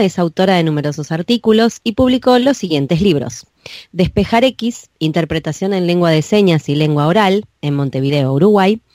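A woman with an Argentine accent hosting a casual podcast interview, chatty and quick to laugh. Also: fairly low pitch, darkish tone, no echo, clean studio sound. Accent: Argentine Spanish. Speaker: podcast host.

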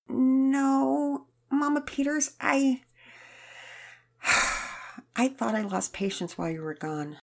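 Woman doing a halting, stammering young girl. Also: sits high, vocal fry, voice pushed up, exaggerated voice. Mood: halting, tearful. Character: anxious young girl babysitter, remorseful.

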